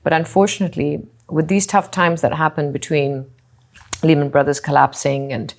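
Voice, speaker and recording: A middle-aged woman from Singapore, a company founder answering interview questions thoughtfully, often pausing with "you know" and restarting phrases. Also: deep voice, little pitch movement, vocal fry. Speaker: hotel group co-founder and CEO.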